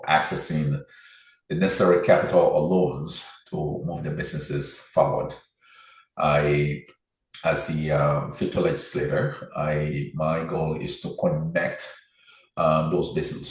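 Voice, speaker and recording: A man's voice: a bass voice, gravelly. A man from Liberia, a political candidate laying out his plans in an earnest, methodical interview. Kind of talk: interview answers, campaign pitch. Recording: very echoey room.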